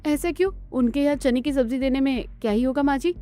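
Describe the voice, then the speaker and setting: A woman playing a young, questioning Indian daughter-in-law in a family cartoon, talking in an animated, pert way. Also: high-pitched, quick pace, soft background music, close to an everyday voice. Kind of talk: Hindi family cartoon dialogue.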